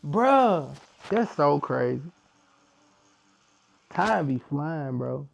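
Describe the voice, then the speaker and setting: A man in his forties from Missouri chats as a guest in a tattoo studio. His voice sits fairly high with a gravelly, creaky texture. He keeps things relaxed and jokey, with frequent laughs. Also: very slow. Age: 40s. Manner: relaxed, joking, laughs often. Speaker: podcast guest. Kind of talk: casual conversation.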